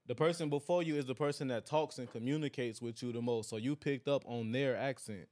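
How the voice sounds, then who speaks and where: A man co-hosting a podcast at an ordinary middle pitch. He jokes around and swears freely in loose casual banter. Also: fairly quick, darkish tone. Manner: joking, profane. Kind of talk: casual chat.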